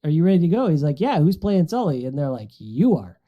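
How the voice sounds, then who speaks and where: A man's voice: fairly high pitch, very animated, quick pace, crowd noise. A man talking casually as a podcast panelist in a discussion among friends, on a dull, muffled recording.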